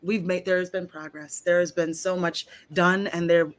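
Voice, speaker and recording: A woman with a moderately low voice hosts a relaxed one-on-one conversation. She is thoughtful and affirming, putting her questions and responses in an attentive, casual way. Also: normal speed. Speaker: interviewer and actor.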